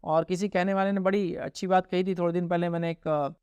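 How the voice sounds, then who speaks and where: A man's voice: high-pitched, little pitch movement, fairly quick, darkish tone, smooth, no echo. A man with an Indian accent answering religious questions informally as a scholar, explaining and often checking that listeners follow.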